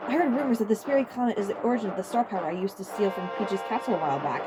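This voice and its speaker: A woman voicing a gruff, pompous villainous turtle-dragon king in a dramatic story reading. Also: mid-range voice, racing, pitched above the performer's own voice, natural voice. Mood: pompous, menacing. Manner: pompous, gloating, emphatic. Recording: loud music under the voice.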